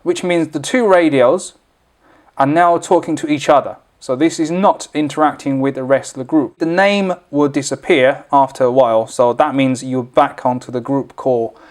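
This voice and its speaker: A man's voice: medium pitch, a little mellow. A man presenting an informal, unscripted product tutorial, explaining things step by step as he demonstrates.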